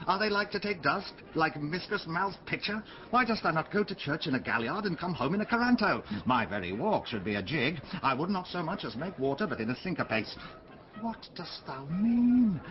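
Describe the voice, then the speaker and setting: An actor playing a jovial, bombastic, hard-drinking middle-aged knight in a Shakespearean radio drama. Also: male voice, high-pitched, big pitch swings, moderate pace, dull audio. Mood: mock earnest.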